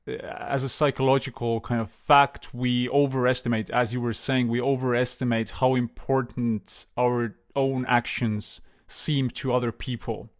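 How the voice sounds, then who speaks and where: A man's voice: mid-range voice, moderate pace, hardly any pauses. Man telling stories with many fillers. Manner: hesitant, many fillers and restarts; storytelling, relaxed. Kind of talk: casual conversation.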